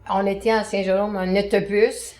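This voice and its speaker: A woman's voice: medium pitch, little pitch movement, moderate pace, a little mellow. An older woman with a Quebec French accent, chatting and reminiscing in a group interview. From Montreal, Quebec. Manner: chatty storytelling, laughs between lines. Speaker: interview participant.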